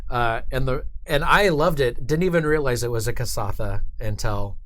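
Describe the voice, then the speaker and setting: A man casually hosting a livestream chat, enthusiastic and a bit halting as he talks. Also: medium pitch, a crowd in the background. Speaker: host of a company livestream show. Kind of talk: casual chat, interviewing a colleague.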